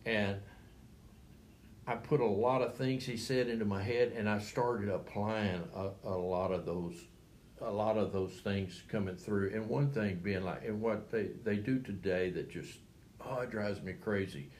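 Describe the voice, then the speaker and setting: An older man, a retired coach, talking in a rambling, halting way with many restarts. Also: on the low side, a little mellow, slight background noise. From Texas. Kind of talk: reflective interview talk.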